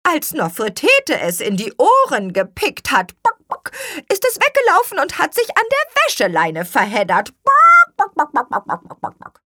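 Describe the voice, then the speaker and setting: Squawking, very high-pitched storybook hens. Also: female voice, huge pitch swings, moderate pace, sharp tone, no room sound, studio quality, heavily acted. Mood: agitated. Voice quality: squawky, clucking. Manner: breaking into clucks mid-sentence. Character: flock of clucking, indignant farmyard hens. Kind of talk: children's storybook character reading.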